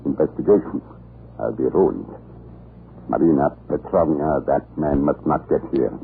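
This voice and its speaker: An actor playing a cruel, scheming prison-island commandant in an old radio drama, gravelly, deliberate and menacing. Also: male voice. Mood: grim scheming. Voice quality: very deep, gravelly. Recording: muffled, noisy old radio recording.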